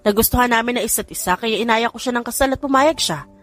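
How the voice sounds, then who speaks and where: A woman's voice: on the high side, fast, fairly bright tone, soft background music, close to an everyday voice. Woman voicing a boastful Filipino rich-family son. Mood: explaining. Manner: boastful, self-assured. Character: vain, cheeky adult son in rich family.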